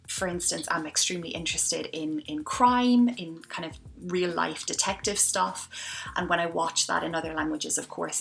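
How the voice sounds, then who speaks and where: A woman in her thirties with an Irish accent talks through a language-learning lesson in a relaxed, anecdotal way, with the occasional um. Her voice is bright and a little low, with a gravelly fry at the ends of phrases. Faint music plays underneath. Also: poor audio. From Ireland. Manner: chatty, anecdotal, with frequent ums. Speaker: English teacher. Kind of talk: casual teaching vlog.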